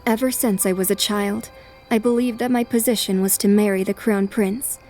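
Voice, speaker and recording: A woman voicing a poised, self-assured young noblewoman in an anime fan dub, formal, calm and resolute. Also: mid-range voice, normal speed, soft background music, natural voice. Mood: calm resolve.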